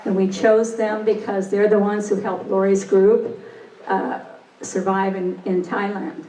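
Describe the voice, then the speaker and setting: A woman, co-author of a book, making brief, earnest, measured remarks at a talk. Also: mid-range voice, flat delivery, dark tone, a little hiss or hum. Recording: echoey room, far from mic, faint ambience.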